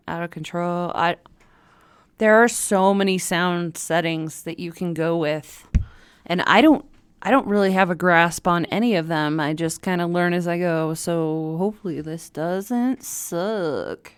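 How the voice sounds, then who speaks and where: A woman's voice: lowish voice, measured pace, smooth, dry recording. A woman hosting a casual home live stream, rambling and self-deprecating, laughing at herself as she talks. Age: adult caregiver, former radio worker.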